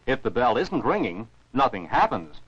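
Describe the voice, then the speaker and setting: A man's voice: medium pitch, sing-song, normal speed, fairly bright tone, slightly rough, no room sound. An American man narrates scripted science for children as an educational film narrator, in a didactic, carefully enunciated way. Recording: dull, dry 1949 film soundtrack.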